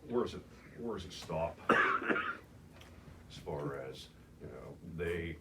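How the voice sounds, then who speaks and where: Older guy, super deep voice, rambly and halting, far from the mic with loud noise behind. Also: expressive. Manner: rambling, halting with many fillers. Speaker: local resident interviewee. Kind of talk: casual storytelling.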